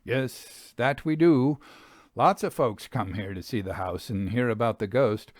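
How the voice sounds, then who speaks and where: A man's voice: mid-range voice, a little slow, darkish tone, no echo, clean studio sound, natural voice. A man voicing a plain-spoken, hard-working country farmer in a storybook, friendly and matter-of-fact, with rustic grammar. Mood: obliging, chatty.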